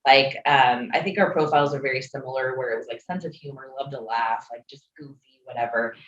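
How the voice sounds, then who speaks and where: A woman's voice: very deep voice, fairly even intonation, a little fast, dull audio. Giggly Midwestern woman, chatty podcast guest, roomy sound. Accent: Midwestern American. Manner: giggly, lots of "like" fillers. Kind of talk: casual chat about dating.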